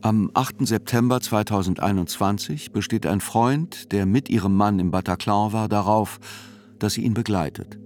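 A man reading scripted literary narration for a radio play in a deliberate, sober manner. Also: lowish voice, a little mellow. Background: faint music.

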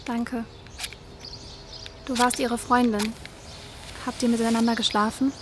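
Actress as blunt teenage schoolgirl, high flat voice. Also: measured pace, background noise, lightly acted. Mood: guarded. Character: bitter, outspoken teenage schoolgirl. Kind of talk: naturalistic German radio crime drama.

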